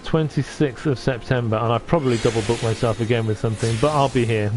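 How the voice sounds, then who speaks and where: A man from North Wales streaming from his sofa at home. He chats gently with his viewers and often stops and restarts. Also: mid-range voice, fast, over music, rough recording. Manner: gentle, hesitant, with many restarts. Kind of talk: casual chat reading out viewer comments.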